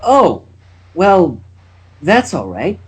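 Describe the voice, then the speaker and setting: A man's voice: sits high, wildly swooping pitch, very slow, husky, full character voice. A man doing a flustered bookish unicorn girl. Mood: forgiving.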